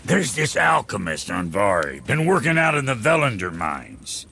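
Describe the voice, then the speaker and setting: An actor playing a brusque, gravelly-voiced male dwarf blacksmith in a video game, speaking in an offhand, wry way. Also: on the low side, sing-song, normal speed, noisy background. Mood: wry, casual. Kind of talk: video game character dialogue.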